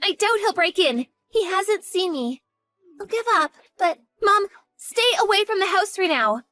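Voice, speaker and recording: A woman's voice: extremely high pitch, lively intonation, measured pace, fairly bright tone, no room sound, soft background music, exaggerated voice. A woman doing a panicky, devoted young daughter. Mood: urgent. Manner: earnest, panicky, pleading. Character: devoted, frightened college-age daughter. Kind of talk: dramatized story acting.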